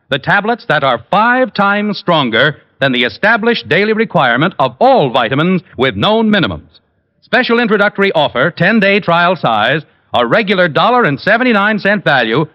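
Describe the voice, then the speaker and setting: A male announcer reading a mid-century radio commercial with polished, emphatic salesmanship. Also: high-pitched. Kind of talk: 1940s-50s radio ad read. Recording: muffled vintage radio broadcast.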